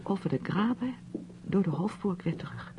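A woman's voice: medium pitch, expressive, normal speed, lightly acted. An actress playing a reserved, polite niece in her thirties in a radio play, subdued and hesitant in her short replies. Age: 30s. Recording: muffled old radio recording, faint noise.

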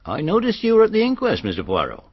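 An actor playing a deferential, matter-of-fact police inspector in a radio drama, plain-spoken and polite. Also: male voice, high voice, wildly swooping pitch, fast talker, slightly rough, dry recording, dull audio, exaggerated voice.

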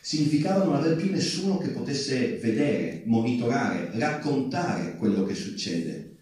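A man giving a public speech from a theatre stage, deliberately, in short phrases broken by pauses. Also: medium pitch, lively intonation, a little mellow. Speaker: ship owner and activist speaker. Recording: very echoey theatre hall, distant mic.

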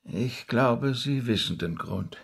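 An actor playing an earnest, insistent rabbi turned sleuth in a radio play, speaking politely but hesitantly and probingly. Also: male voice, medium pitch, lively intonation, takes it slowly, vocal fry, slightly rough, exaggerated voice. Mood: grave, probing. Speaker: actor in a radio crime drama. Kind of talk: naturalistic radio play dialogue.

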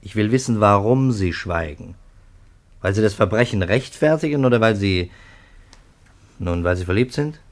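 A man's voice: lowish voice, lively intonation, moderate pace, long pauses. An actor playing a sarcastic, probing police detective who presses his questions in a 1950s German radio drama. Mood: quietly probing. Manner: pressing, sardonic, rhetorical questioning.

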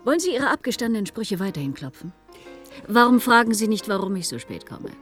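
Haughty, lively-pitched lady client. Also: fairly quick, soft background music. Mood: scornful. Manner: cutting, arch, businesslike. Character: haughty, sharp-tongued elegant female client of a detective. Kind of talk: German radio play acting.

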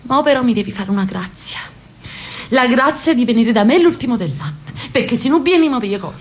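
An actress playing an elderly sister from Naples in a radio drama, telling a street story with lively, affectionate chatter. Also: female voice, fairly high pitch, fairly quick, lightly acted. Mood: pleading. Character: elderly Neapolitan sister, talkative, loves her city.

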